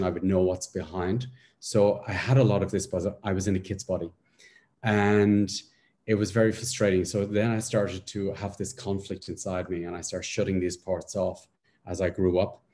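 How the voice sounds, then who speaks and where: Intense Dublin man telling his story. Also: low voice. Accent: Dublin Irish. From Ireland. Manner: intense, animated storyteller with hand gestures. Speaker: guest. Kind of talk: casual chat, personal story. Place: living room at home. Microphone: video call.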